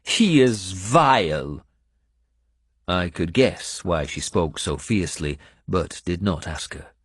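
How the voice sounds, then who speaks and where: A man's voice: sits low, a little slow, husky, no room sound, studio quality. A man reading dramatic first-person narration as an audiobook narrator.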